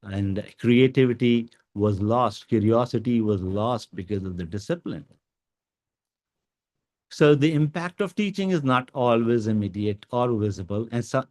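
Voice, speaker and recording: A man whose first language is Urdu gives an educational talk to teachers, with many pauses and fillers. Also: medium pitch, a little mellow. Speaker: guest speaker.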